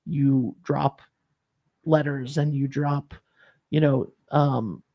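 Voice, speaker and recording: A man's voice: medium pitch, steady tone, slow, dull audio. A man hosting a casual morning talk show, rambling with plenty of fillers and restarts. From Rhode Island. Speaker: show host. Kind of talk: casual chat.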